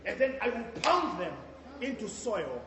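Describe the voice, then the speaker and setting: A man playing a tyrannical school headmistress in a stage play, booming threats and sneering insults. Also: very animated, a little slow, a bit bright, exaggerated voice. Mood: threatening. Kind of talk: amateur stage play acting. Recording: echoey hall, mic far off, noisy.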